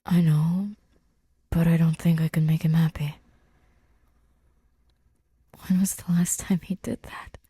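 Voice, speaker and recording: A woman narrating scripted fiction in an intimate, subdued, halting way, her breathy voice often near a whisper. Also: low voice, flat delivery, warm tone, no echo, clean studio sound. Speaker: podfic reader.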